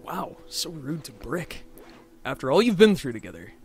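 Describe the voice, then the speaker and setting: A man co-commentating on a game in a casual, joking, animated way. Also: on the high side, moderate pace, husky. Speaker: co-commentator on a let's play.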